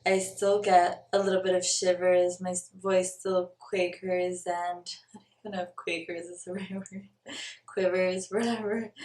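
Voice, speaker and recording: A woman's voice: medium pitch, darkish tone. A young woman from Brazil talking earnestly to camera in a personal vlog, stopping now and then to search for the right word. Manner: earnest and searching, stops to look for words. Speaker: spiritual vlogger. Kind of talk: reflective personal talk to camera. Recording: roomy, mic at a distance.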